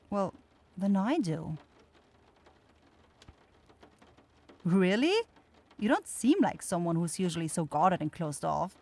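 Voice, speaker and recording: A woman's voice: medium pitch, warm tone. A woman voicing a caring, easily flustered young forest witch in an ASMR fantasy roleplay, speaking in hesitant, blurting phrases. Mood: concerned, teasing.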